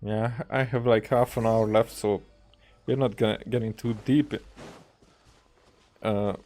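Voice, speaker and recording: A man's voice: on the low side, steady tone, unhurried, darkish tone. A man giving casual commentary as he plays a video game, rambling and often searching for his words. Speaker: streamer playing a video game.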